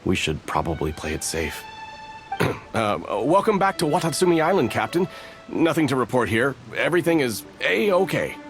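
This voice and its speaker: An actor playing a wary male soldier who talks in an awkward, hushed way. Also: medium pitch, big pitch swings, moderate pace, soft background music. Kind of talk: video game voice acting.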